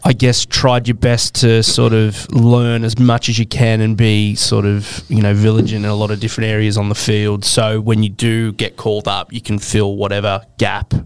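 A man's voice: on the low side, completely flat delivery, moderate pace, few pauses, clear, resonant tone. A man with an Australian accent, hosting a casual podcast interview and asking questions in an easygoing, friendly way.

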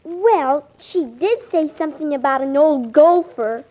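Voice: high voice, expressive, measured pace, background noise. A performer playing an innocent, tattling little daughter in a 1940s radio comedy, reciting what she overheard. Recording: muffled 1940s radio recording.

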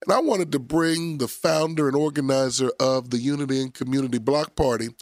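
Nasal, scratchy-voiced pastor hosting radio. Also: male voice, a little high, vocal fry, no echo, studio quality. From Philadelphia area. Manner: warm, playful, preacherly. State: nasal, scratchy from a summer cold. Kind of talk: radio talk show.

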